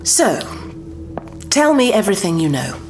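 An actress playing a cool, guarded female Time Lord president who speaks in a wry, lively way. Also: mid-range voice, measured pace, soft background music. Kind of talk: audio drama acting.

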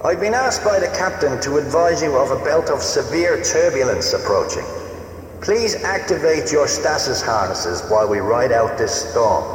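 A man's voice: mid-range voice, lively intonation, moderate pace, natural voice. An actor playing a formal male spaceship steward making an announcement in an audio drama. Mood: official. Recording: echoey public address with faint noise.

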